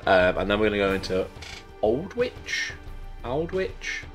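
A man's voice: lowish voice, very animated, a little slow, faint music behind. A man in his thirties, congested and losing his voice, chatting rambly while streaming a game at home. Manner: rambling, chatty, jokey asides. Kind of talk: casual live-stream chat while playing.

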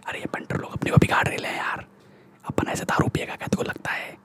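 A man's voice: quick pace, natural voice. A young man with an Indian accent playing a cocky, threatening street gangster in a whispered ASMR roleplay, speaking bossy street slang close to the microphone. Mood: complaining. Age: young adult. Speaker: ASMR performer. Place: small room indoors. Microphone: close-up large desktop microphone.

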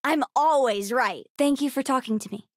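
A woman voicing a bright, bookish, sassy young girl in a video game, earnest, chatty and precocious. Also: high voice, normal speed, no echo, clean studio sound. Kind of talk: video game character dialogue.

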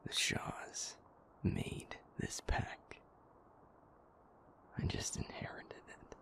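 A man playing a grieving, self-doubting pack alpha in an intimate audio drama, breathy and gravelly, speaking in a halting, heavy way. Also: fairly bright tone, whispered. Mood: resigned. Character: weary, self-doubting male pack alpha, burdened by grief.

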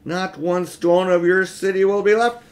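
Man voicing an imperious, booming witch queen, scornful. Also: fairly even intonation, normal speed, natural voice. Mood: scornful, triumphant. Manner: loud, haughty, declaiming.

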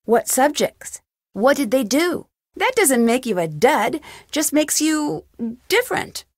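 An inquisitive young female detective from a video game, with a fairly high voice and very lively intonation. She puts her questions and replies crisply, with a dry wit, in a close, dry recording. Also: slow, dry recording, heavily acted. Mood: curious, friendly. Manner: inquisitive, crisp, lightly wry. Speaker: video game lead character.

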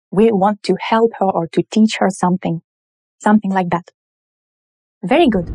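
A woman, teaching grammar at a whiteboard with slow, clear, patient explanations. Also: medium pitch, a little mellow, no echo. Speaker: language teacher. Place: indoor room in front of a whiteboard.

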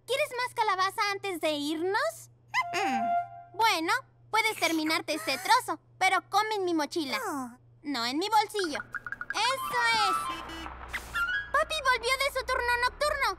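Cheerful kindergarten pug puppy girl, very high voice, unhurried delivery, bubbly, preschool cartoon, music behind. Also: lively intonation. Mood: cheerful, excited. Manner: bubbly, exclamatory.

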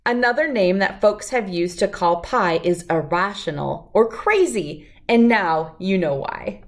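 A middle-aged woman reading a rhyming picture book aloud to children in an expressive, deliberate, lilting way. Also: mid-range voice. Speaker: children's read-aloud host.

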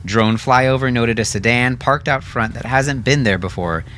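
A commanding military captain, acted by a male narrator, briefs his squad in clipped, authoritative phrases. He is brisk and businesslike, an audio drama officer with noise behind him. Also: medium pitch, fairly bright tone, pitched above the performer's own voice, close to an everyday voice.

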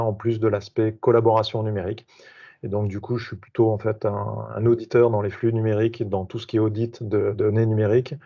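A man's voice: fairly low pitch, gravelly, warm tone. A man introducing himself on a video call, hesitant with many fillers and restarts. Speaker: association member introducing himself. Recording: dull video call audio.

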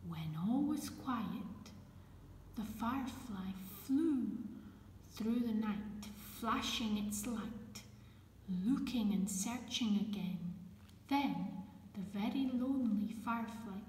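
Slow female picture-book reader, echoey room. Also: fairly high pitch, animated, darkish tone. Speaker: storyteller. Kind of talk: reading a children's picture book aloud. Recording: echoey room with background noise.

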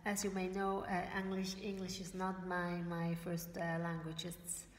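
A woman's voice: on the low side, monotone, moderate pace. A woman in her forties whose first languages are Italian and German, speaking English to camera and often searching for words, with many fillers and restarts. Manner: gestures with her hands while talking. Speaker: motivational vlogger for network marketers. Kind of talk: casual motivational talk to camera. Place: bright living room indoors. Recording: roomy echo with faint room noise.

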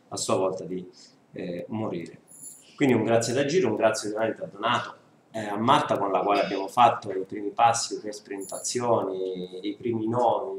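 A man in his thirties with a lowish voice explains his book earnestly, piling up lists of points. He is seated at a table and picked up by a far camera. The small room sounds echoey, with a faint hiss behind him. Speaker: book author presenting his book. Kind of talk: book presentation talk.

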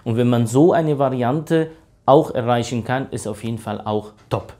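A man's voice: medium pitch, normal speed, warm tone. A man around forty, a dentist explaining treatment in an informal, reassuring way. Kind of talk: informal explanation and patient consultation. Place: small dental clinic room. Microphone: lapel mic.

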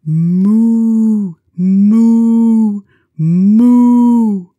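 A woman's voice: a little high, drawn-out words, dark tone, highs cut off, voice pushed up. A woman voicing noisy farm animals from a children's storybook with exaggerated calls. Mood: loud, playful. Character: noisy storybook farm animals: cow, horse, dog, sheep.

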